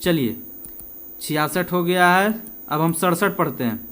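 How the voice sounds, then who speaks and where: High-pitched man teaching exam questions. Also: animated, a little slow, fairly bright tone. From India. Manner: conversational, asks and answers own questions, switches between Hindi and English. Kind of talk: informal lesson working through practice questions.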